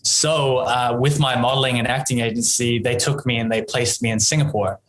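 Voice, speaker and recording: A man's voice: medium pitch, brisk, rough recording. Brief: Australian man, 30s, interview guest, loose and chatty, lots of filler words. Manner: relaxed, rambling, full of ums and you-knows. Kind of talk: casual interview chat.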